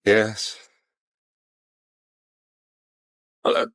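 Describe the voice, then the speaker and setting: A man playing a methodical, hot-tempered painter in a British radio drama, husky and clipped, with a British accent. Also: normal speed, a bit bright, dry recording, lightly acted. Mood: subdued, wary.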